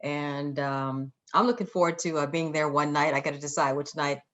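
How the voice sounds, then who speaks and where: A woman's voice: low-pitched, fairly even intonation, normal speed, fairly bright tone. A woman with an American accent hosting a live show and interviewing guests in a casual way.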